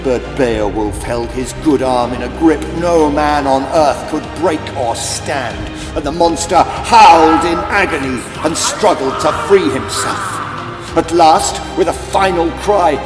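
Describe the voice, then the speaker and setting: A man's voice: a little high, a little slow. A man narrating a children's audiobook as a dramatic, scripted storyteller. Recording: echoey, long hall-like reverb. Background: music.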